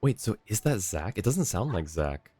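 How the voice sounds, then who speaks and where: A man's voice: lowish voice, big pitch swings, normal speed, mellow. A man livestreaming a game with casual, conversational commentary into a close mic. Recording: dry close mic, game music behind.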